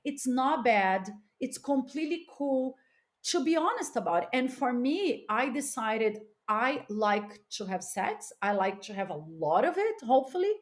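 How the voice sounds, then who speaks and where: Middle aged woman from la on a podcast, kinda high voice, candid casual chat, leans hard on words. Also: expressive, unhurried. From Los Angeles, United States. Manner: emphatic, informal with frequent "like" and "you know". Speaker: podcast guest.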